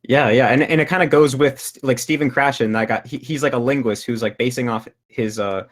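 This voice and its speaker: A young man of mid pitch talks through his ideas over a video call. He explains patiently but haltingly, restarting his sentences and padding them with fillers. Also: fairly even intonation. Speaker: language-learning video creator. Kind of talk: casual discussion.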